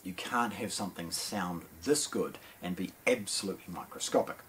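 Low-voiced New Zealand man presenting. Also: moderate pace, fairly bright tone, a little hiss or hum, rough recording. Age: middle-aged. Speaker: audio shop presenter. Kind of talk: product unboxing presentation. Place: indoor shop demo room with shelves and speakers.

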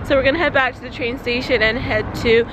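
A woman's voice: sits high, fairly even intonation, quick pace. A young woman in her twenties, chatting casually as a travel vlogger while she walks around with a handheld camera. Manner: chatty, upbeat, filler-heavy with frequent "like". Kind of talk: casual travel vlog narration. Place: outdoors on busy city streets and in markets and shops. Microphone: handheld camera at arm's length. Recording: music and crowd noise behind.

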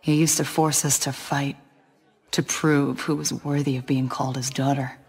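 Brooding female warrior, husky voice, quiet, game. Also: rumbling low, flat delivery, normal speed, heavily acted. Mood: troubled. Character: brooding green-skinned female warrior assassin. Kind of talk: video game acting.

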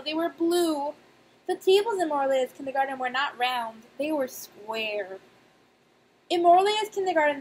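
A young woman, a nursery teacher, reading aloud and talking to young children in simple, expressive speech with emphatic pauses. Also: high voice, low-quality recording. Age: young adult. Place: small indoor room. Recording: clear background noise, webcam-style room recording.

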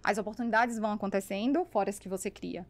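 A woman's voice: mid-range voice, fast. A woman in her thirties with a Brazilian accent, telling stories conversationally as a podcast guest, close on the mic. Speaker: podcast guest, business networker. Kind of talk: animated conversational storytelling. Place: small foam-treated podcast studio. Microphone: close podcast microphone on boom arm.

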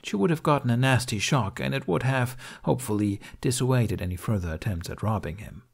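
A man's voice: medium pitch, brisk, gravelly, slightly rough. A man reading scripted fiction as an audiobook narrator.

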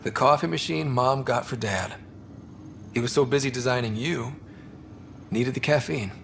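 A man's voice: medium pitch, normal speed, gravelly, fairly bright tone, slight background noise. A young man acting as an inquisitive space explorer in a video game, with a casual, questioning manner. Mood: reflective. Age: young adult.

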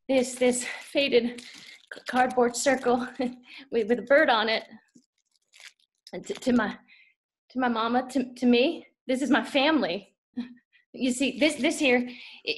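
An actress playing an anxious, devoted daughter in a theatrical monologue, speaking haltingly and emotionally. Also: female voice, sits high, lightly acted. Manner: halting, repeating words, emotional. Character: anxious, devoted Southern daughter kept from her mama's bedside.

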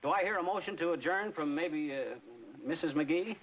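An American actor playing a middle-aged, cocky, excitable small-town club president in a 1940s comedy film, brash and wisecracking. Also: male voice, on the high side, moderate pace, natural voice. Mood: brash, officious. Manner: brash, wisecracking, mangles big words. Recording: dull, noisy 1940s film soundtrack.

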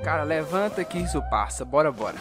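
A performer voicing a brash, goofy, excitable young man in a comic anime parody dub. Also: medium pitch, fairly quick, natural voice. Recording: music under the voice.

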